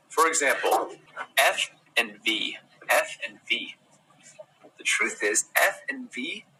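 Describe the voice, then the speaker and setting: A young man from Utah with a General American accent, teaching a live English pronunciation lesson in a relaxed, friendly way and greeting viewers from the chat. He sounds close to a phone camera in a small indoor room. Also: mid-range voice, breathy edge, low-quality recording. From Utah, United States. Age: young adult. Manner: relaxed, friendly, explains step by step with fillers.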